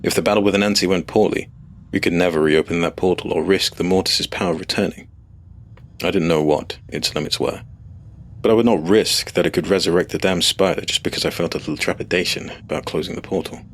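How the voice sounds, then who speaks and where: A man's voice: deep voice, fairly even intonation, a little fast. A man reading a scripted first-person fantasy story as its narrator.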